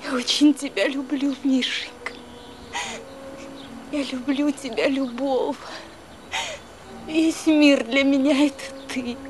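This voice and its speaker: A woman's voice: high-pitched, steady tone, slow, pauses often, slight background noise. An actress playing a loving young wife who pleads with her husband earnestly and emotionally in a film. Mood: tender.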